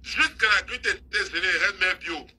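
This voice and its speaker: A woman's voice: on the low side, expressive, brisk, pushed and bright, husky. A woman hosting a live stream, scolding and mocking someone in an insistent, repetitive gossip rant. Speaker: social media live host.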